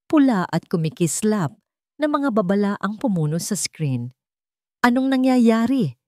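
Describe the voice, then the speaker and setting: A woman reading a dramatic scripted story with a measured, suspenseful delivery. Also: medium pitch, sing-song, dark tone, dry recording, clean studio sound. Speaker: story narrator.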